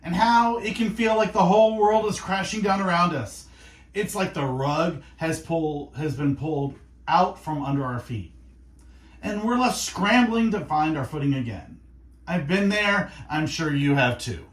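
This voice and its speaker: A man hosting faith-based radio, speaking in an earnest, reflective monologue of encouragement with short, deliberate phrases. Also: a little high, roomy sound. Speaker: radio host. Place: radio studio.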